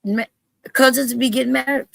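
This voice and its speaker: Husky high-voiced woman, exasperated. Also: fairly even intonation, moderate pace, a bit bright. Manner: exasperated, teasing, exclaims "Lord". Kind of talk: casual chat.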